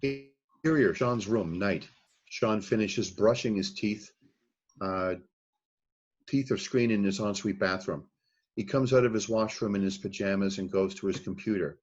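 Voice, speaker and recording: Casual: low husky guy reading out scene directions, muffled dull recording. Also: a little slow. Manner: plain, steady reading of scene headings and action. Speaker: narrator of a screenplay reading. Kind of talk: reading stage directions aloud.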